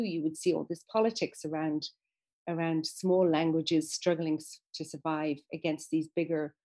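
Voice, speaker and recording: A woman's voice: low-pitched, darkish tone. A woman speaking as a guest in an interview, explaining at length in a thoughtful way with frequent fillers and restarts. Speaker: guest expert on literature. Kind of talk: conversational interview.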